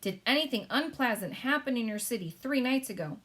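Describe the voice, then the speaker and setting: A woman playing a brisk, imperious storybook queen. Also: on the high side, expressive, a bit bright, pitched above the performer's own voice. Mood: dry, testy. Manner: commanding, formal.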